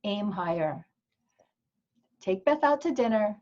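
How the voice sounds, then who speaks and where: A woman's voice: animated, slow, darkish tone. A woman playing an encouraging romance coach in a comic play table read, urging and reassuring, on muffled audio. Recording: dull, muffled low-fidelity audio.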